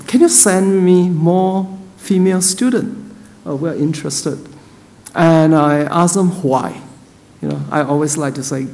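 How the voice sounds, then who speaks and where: Guy moderating a panel, a bit high-pitched, easygoing and chatty, long echo in the hall. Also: darkish tone. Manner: conversational, chuckles while telling anecdotes. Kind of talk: panel discussion. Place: event hall.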